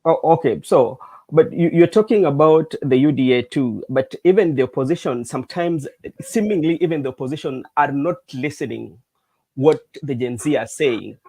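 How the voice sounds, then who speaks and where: A male host leads an interview, asking questions in an even, measured way. His voice sounds close and dry, with no room around it. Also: on the high side, no echo. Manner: measured, lots of short backchannel acknowledgements.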